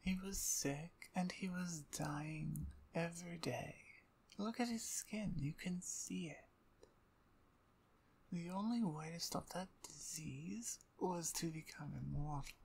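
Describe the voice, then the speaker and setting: Woman acting a slow, husky, menacing female vampire. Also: fairly low pitch, darkish tone, full character voice. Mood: cold, insistent. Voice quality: breathy, husky. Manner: slow, taunting, mock-courteous, breathy half-whispers. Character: menacing, gloating female vampire usurper, playfully dominant. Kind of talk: ASMR audio roleplay, dramatic monologue.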